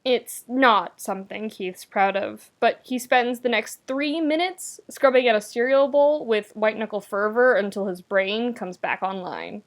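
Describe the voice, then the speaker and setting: A woman reading a story aloud as narrator, with expressive, wry delivery and dramatic emphasis. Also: on the high side, unhurried, hardly any pauses. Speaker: podfic narrator. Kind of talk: expressive scripted fiction narration.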